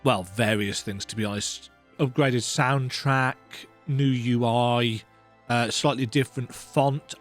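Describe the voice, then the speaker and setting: Rambling casual man over music. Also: medium pitch. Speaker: video game let's play host. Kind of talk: casual, rambling gaming commentary.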